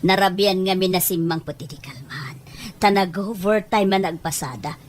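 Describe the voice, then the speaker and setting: A woman's voice: on the low side, normal speed, lightly acted. A woman with an Ilocano Filipino accent acting a happy, boastful older mother in a homespun audio drama. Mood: proud, gushing. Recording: faint background noise.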